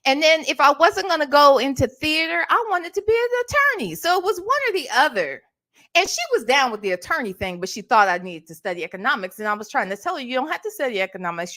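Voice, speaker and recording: A middle-aged American woman from Ohio telling stories casually as a livestream host, emphatic and sassy, often checking in with the listener. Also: high-pitched. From Ohio, United States. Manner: animated, emphatic, sassy, conversational with tag questions. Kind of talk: casual storytime chat.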